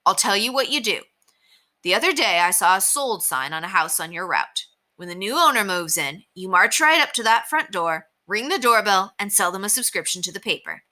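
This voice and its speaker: A woman's voice: medium pitch, animated, pushed and bright. A woman voicing a friendly, encouraging male district manager in a read-aloud storybook. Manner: encouraging, brisk.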